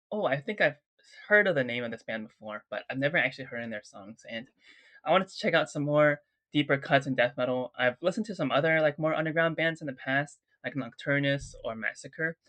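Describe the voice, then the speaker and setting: Bright nasal man with a cold. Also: mid-range voice. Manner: eager, chatty, gushing over riffs. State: sick, congested. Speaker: guitarist reacting to music. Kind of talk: casual chat.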